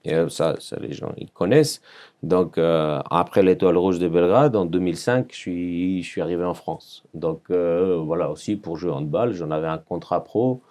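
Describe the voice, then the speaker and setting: A man's voice: sits low, moderate pace, warm tone. A man in his forties from Serbia with a Serbian accent, telling his life story as a podcast guest in earnest French, searching for words and repeating phrases. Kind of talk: personal storytelling in an interview.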